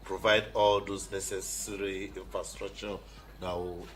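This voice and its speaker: A man with a Nigerian accent answers as a radio guest in a deep, low voice. His delivery is conversational, with frequent little "you know" asides. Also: little pitch movement, a little slow, a bit bright, poor audio. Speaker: radio guest, competition organiser. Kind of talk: conversational interview talk.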